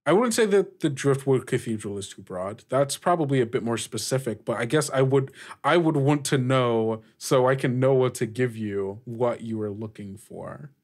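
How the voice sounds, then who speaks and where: A man narrating a tabletop roleplaying game as game master, in a halting, conversational way. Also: mid-range voice, a little mellow. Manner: halting, conversational, often saying "like".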